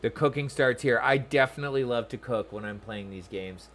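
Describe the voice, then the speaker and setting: A male streamer with a medium-pitched voice gives casual game commentary. He muses aloud and talks informally to his viewers. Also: a little fast, fairly bright tone.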